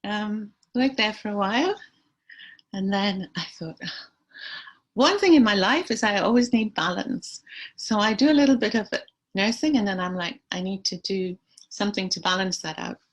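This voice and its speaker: A woman in her sixties, born and raised in Trinidad, chatting as a guest about her nursing career in a relaxed, storytelling way with easy laughter. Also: medium pitch, normal speed. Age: 60s. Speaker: aesthetic nurse, guest. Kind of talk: relaxed conversational interview.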